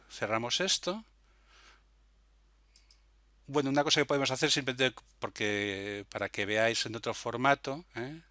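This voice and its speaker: A man walks through a software demo in a forceful, bright voice at a middling pitch. He explains step by step without a script, hesitating and adding little confirmation tags. The sound is dry and close. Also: no echo. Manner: hesitant, frequent verbal tags seeking confirmation. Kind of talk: unscripted screencast tutorial, explaining step by step.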